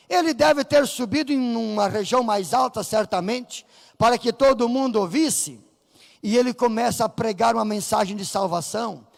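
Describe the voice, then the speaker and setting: A man with a Brazilian Portuguese accent preaching a Pentecostal sermon in church, fervent and rising to shouts as he acts out voices from the story. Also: very high-pitched, creaky. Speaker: pastor. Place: church sanctuary. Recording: reverberant church hall.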